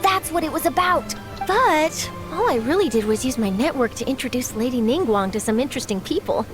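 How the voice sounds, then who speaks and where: A woman's voice: sits high, animated, brisk, over music. A woman voicing a perky, chatty young female reporter in an anime game, eager and teasing.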